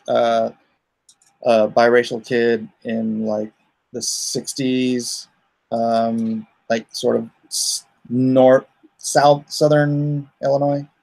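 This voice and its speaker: A man with a creaky, fry-edged voice hosts a casual livestream. He talks at a very unhurried pace, rambling and thinking out loud. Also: medium pitch, rough recording. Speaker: comic artist hosting a livestream.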